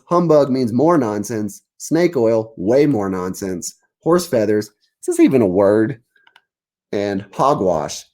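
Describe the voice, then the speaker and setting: A man's voice: medium pitch, darkish tone. A man, an author, reading aloud and explaining words informally to young listeners at a children's story time. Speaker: author reading own book.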